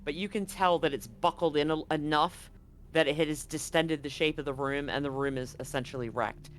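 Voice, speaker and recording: A game master with a mid-range voice narrates a tabletop roleplaying game. The delivery is casual and halting, with phrases reworked along the way. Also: medium pitch, fairly even intonation.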